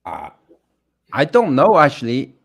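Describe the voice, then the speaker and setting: Man, mid-pitched, very lively intonation, casual chat, guest musician. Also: unhurried.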